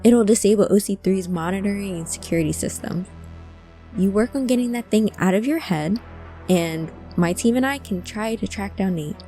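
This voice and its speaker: A woman acting an earnest, emotional hacker searching for a lost friend in a cyberpunk audio drama, with halting delivery. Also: medium pitch, dark tone, faint music behind, close to an everyday voice. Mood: pleading, determined. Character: distressed, determined hacker woman seeking her lost friend.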